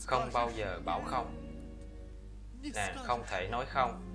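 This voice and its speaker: A man's voice: medium pitch, slow pace. A man reads a scripted voice-over translation for a fairy-tale cartoon. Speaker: voice-over translator reading all characters. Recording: voice-over laid over loud film soundtrack. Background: operatic music from the film.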